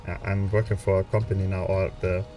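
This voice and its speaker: A man's voice: low-pitched, level tone, fairly quick, distant mic, music in the background. A young man with a German accent, casually commenting on a game he plays, full of hesitant fillers and restarts. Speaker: let's play streamer. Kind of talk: relaxed gameplay commentary with chat.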